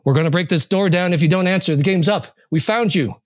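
A man doing an urgent, high-pitched worried woman. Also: fairly quick, lightly acted. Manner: pleading, urgent calling.

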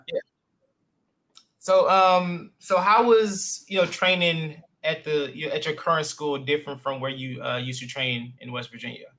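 Upbeat male podcast host, bright and forceful tone, somewhat high voice. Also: steady tone, measured pace. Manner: upbeat, friendly, says man and brother a lot. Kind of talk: casual interview hosting.

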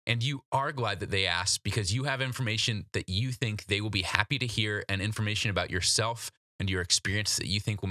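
A man's voice: on the low side, sharp tone, no echo. A man hosting an instructional solo podcast, coaching the listener in a direct, practical, conversational way. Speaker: podcast host giving job interview advice.

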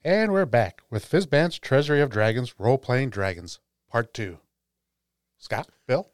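A man's voice: mid-range voice, lively intonation, unhurried, dry recording. A man hosting a tabletop gaming podcast, speaking in a casual, chatty style. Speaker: podcast host.

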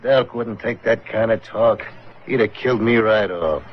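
Pushy western barroom braggart played by a man, American, fairly low, folksy, dull vintage radio sound with slight noise. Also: unhurried, natural voice. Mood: disbelieving. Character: pushy saloon bully, a cowardly braggart cowboy. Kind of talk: 1950s western radio drama acting. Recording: muffled old radio recording, faint noise.

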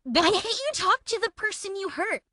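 Lady playing a nasty self-pitying woman in a dramatic reading, high sharp voice, always blaming. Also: lively intonation, normal speed, no echo, clean studio sound, exaggerated voice. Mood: threatening, aggrieved. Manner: accusatory, aggrieved. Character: abusive, self-pitying, accusing woman harassing someone by text. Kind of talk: dramatic reading of a text exchange.

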